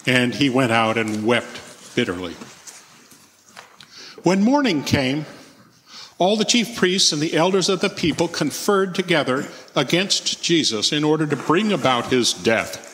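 A man's voice: a little high, expressive, unhurried, a bit bright, a little hiss or hum. A man reading a Bible narrative aloud as the narrator at church. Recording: long church reverb.

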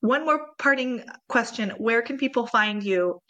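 A woman's voice: on the high side, animated, lo-fi sound. Encouraging woman podcast host. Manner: warmly encouraging, quick to praise. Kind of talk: casual podcast conversation.

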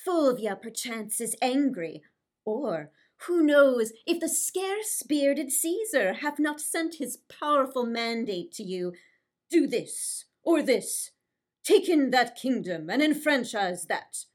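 Woman acting an imperious, teasing queen, swooping pitch. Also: sing-song, slow, exaggerated voice. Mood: mocking, commanding. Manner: mocking, declamatory. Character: imperious, teasing Egyptian queen taunting her lover. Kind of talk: theatrical Shakespearean verse acting.

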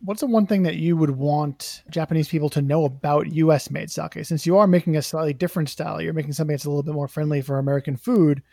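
A man's voice: a little high, fairly even intonation, fast talker, creaky, few pauses, warm tone, dry recording, studio quality. A man interviewing a guest, firing off long run-on questions.

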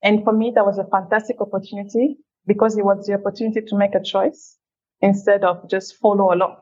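Measured woman from Cameroon, slightly muffled. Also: medium pitch, little pitch movement, darkish tone, breathy edge. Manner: thoughtful, measured, speeds up on asides. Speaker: guest, feminist leader. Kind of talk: reflective interview answers.

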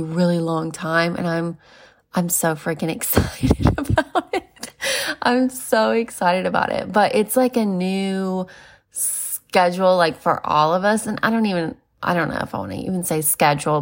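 A woman in her thirties hosting a casual solo podcast, chatty with lots of fillers and little laughs, her voice congested and nasal. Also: on the low side. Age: 30s. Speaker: podcast host.

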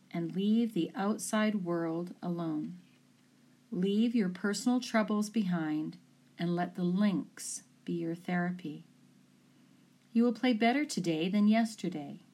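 A woman coach narrating a scripted guided imagery session, giving calm, soothing instructions in short, deliberate phrases. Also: on the low side. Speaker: sports mind coach leading guided imagery.

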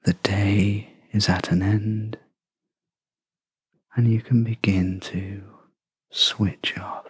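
A guide leading a sleep meditation in a breathy half-whisper, gentle and soothing, with long pauses between short phrases. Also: low voice, flat delivery, no echo, studio quality. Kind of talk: guided sleep meditation, soft scripted narration.

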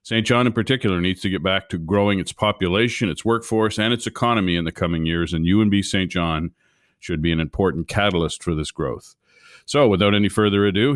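A man's voice: deep voice, dry recording, clean studio sound. A man hosting a podcast, earnestly reading a prepared intro and then interviewing a guest. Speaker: podcast host.

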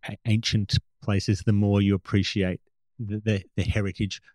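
A man hosting an interview, asking questions and adding thoughtful comments in a measured, articulate way. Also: on the low side, flat delivery, a little mellow, no echo, rough recording. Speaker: interviewer, host.

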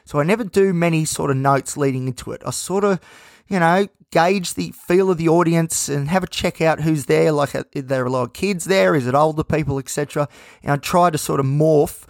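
A man hosting his own podcast, talking in a candid, rambling way with many fillers. He sounds a little croaky and nervous, with a dry mouth. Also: on the high side, fairly quick, no room sound. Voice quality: slightly croaky. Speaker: podcast host. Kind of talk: casual solo podcast monologue.

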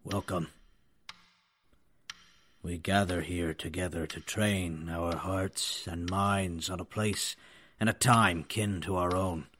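A man's voice: deep voice, fairly even intonation, slow, pauses often, fairly bright tone, slight background noise. A man playing a grave, ceremonial host of a mourning gathering, delivering an audio fiction prologue with solemn deliberation.

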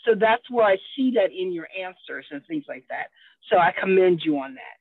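A woman's voice: lowish voice, animated, normal speed, breathy edge, no echo. A woman co-host rambles encouragingly as she asks questions. Her voice comes through a thin, phone-like line, and the sound is dry and close. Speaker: co-host interviewer. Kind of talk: interview chat.

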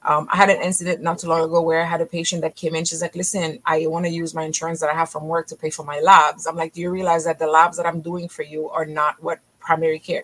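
A middle-aged woman from Haiti with a notably low voice, speaking as a physician guest on a podcast. She talks with energy and emphasis, rushing in quick bursts and often restarting her phrases. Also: fairly bright tone, rough recording. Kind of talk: podcast interview.

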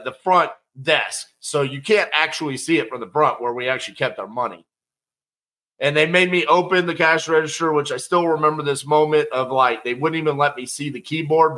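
A middle-aged man telling a personal story in a casual, conversational way and acting out the quotes. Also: medium pitch. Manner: conversational, animated, acts out quotes.

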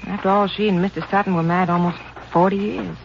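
An actress playing a calm, reassuring ranch wife in a 1950s radio drama. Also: female voice, on the low side, fast talker, vocal fry. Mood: easygoing. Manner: homely, reassuring, lively intonation. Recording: lo-fi old radio transcription with hiss.

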